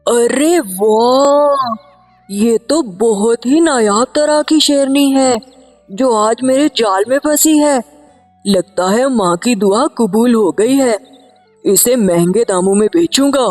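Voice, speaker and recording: A woman's voice: on the high side, takes it slowly, darkish tone. A woman voicing an eager, naive young male hunter and dutiful son in a cartoon story, earnest and exclaiming, in a reverberant recording with music. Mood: delighted.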